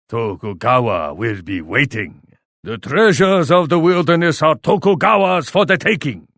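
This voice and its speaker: Menacing shogun warlord, video game taunts, theatrical, formal, lively swooping pitch, dry close sound. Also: male voice, dry recording. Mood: imperious. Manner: haughty, threatening, formal and theatrical. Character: proud, menacing Japanese shogun warlord, speaks of himself grandly.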